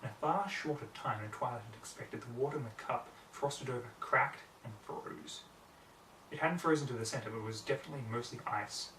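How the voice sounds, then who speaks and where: Male story narrator, mid-pitched, scripted read, roomy sound a little away from the mic. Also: a bit bright. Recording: roomy, distant mic, faint noise.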